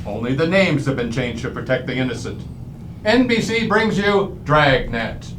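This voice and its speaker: Animated old-time radio show announcer. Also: medium pitch, normal speed, echoey room, far from the mic.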